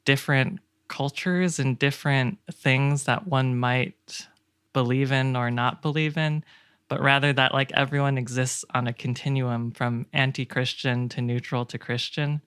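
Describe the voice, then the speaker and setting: A man talks casually as a podcast guest, with a clear, smooth voice at an ordinary pitch. He sounds reflective and tentative as he works through his thoughts, recorded close and dry. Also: fairly even intonation, measured pace, a bit bright, no room sound. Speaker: game developer.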